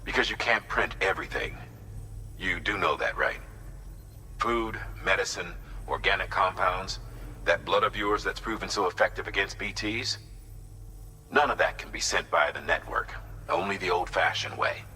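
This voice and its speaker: A man's voice: sits low, moderate pace, bright, forceful tone, a little hiss or hum, rough recording. An actor playing a commanding male official who gives firm, slightly condescending instructions in a video game. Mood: instructive.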